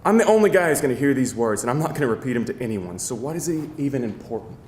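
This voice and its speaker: A man's voice: mid-range voice, expressive, very fast, darkish tone, close to an everyday voice. A man playing an irritable, sardonic vampire with an exasperated, sarcastic manner in a live screenplay table read. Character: irritable, sardonic male vampire with an office job. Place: indoor live reading before an audience. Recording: roomy live venue, faint noise.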